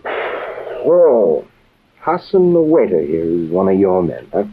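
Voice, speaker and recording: Wisecracking American adventurer, hardboiled, blunt slang, lively swinging pitch, old radio serial acting, narrow phone-like sound. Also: male voice, takes it slowly, full character voice. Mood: wry, wary. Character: tough wisecracking American adventurer hero, narrating his tale. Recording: tinny noisy old radio recording.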